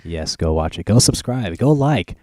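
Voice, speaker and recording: Male podcast host, low pitch, dry recording, informal and halting delivery, casual interviewing. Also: lively intonation, darkish tone, dry recording.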